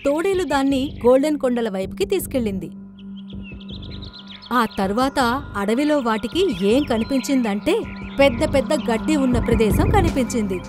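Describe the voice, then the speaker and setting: A woman with a high voice narrates a children's cartoon tale. Her scripted storytelling plays over clearly audible music. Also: animated, normal speed. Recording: prominent music behind the voice.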